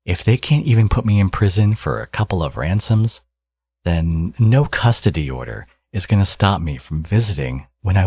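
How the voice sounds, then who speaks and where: Casting: smug villain dad for audio roleplay, male actor, low-pitched, sardonic and teasing, with swooping, expressive delivery. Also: slightly rough, no room sound, full character voice. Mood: defiant, smug. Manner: teasing, sardonic, drawling threats. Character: smug supervillain man, teasing ex-enemy and doting father. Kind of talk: ASMR audio roleplay, intimate acted monologue.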